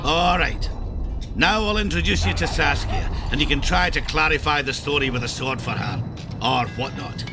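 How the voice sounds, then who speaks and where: An actor playing a blustery, foul-mouthed dwarf warrior in a video game, with a gruff and colourful delivery. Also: male voice, a little high, normal speed, lightly acted. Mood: boisterous. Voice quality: gruff, gravelly. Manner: blunt, colourful, swearing. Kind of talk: video game cutscene acting. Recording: music behind the voice.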